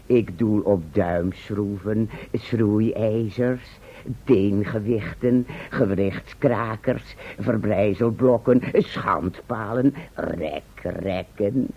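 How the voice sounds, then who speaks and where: An actor playing a verbose, self-satisfied town scholar in a radio play, speaking in pompous, formal, elaborate phrases. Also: male voice, lowish voice, drawn-out words, creaky, husky, heavily acted. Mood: pompous, pleased. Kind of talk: Dutch radio play acting. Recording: muffled vintage radio play recording.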